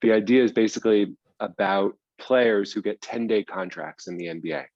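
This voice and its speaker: A man's voice: fairly low pitch, animated, moderate pace, vocal fry, darkish tone, no room sound, dull audio. A man answering as an interview guest in a conversational, explanatory way, stressing points by repeating them. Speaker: guest, screenwriter and audio drama producer.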